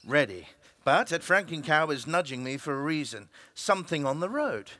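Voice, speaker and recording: A man's voice: on the high side, big pitch swings, moderate pace, sharp tone, no echo. A man performing an emphatic, dramatic reading of a comic story as an actor. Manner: theatrical, emphatic delivery.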